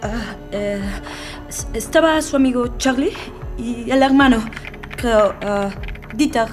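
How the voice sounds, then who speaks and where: A frightened woman under interrogation in an audio docudrama. Her voice is very high, hesitant and stammering, pleading and defensive, with soft music underneath. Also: takes it slowly. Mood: nervous, hesitant.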